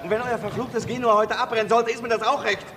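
An actor playing a blunt, defiant, bitter investigator in a crime radio drama. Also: male voice, extremely high pitch, fairly even intonation, quick pace, fairly bright tone, roomy sound, in a large hall, slight background noise. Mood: bitter, angry.

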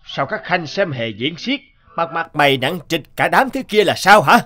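A man voicing a tyrannical middle-aged king, imperious and scolding, in an animated fairy tale. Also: on the high side, moderate pace. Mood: displeased.

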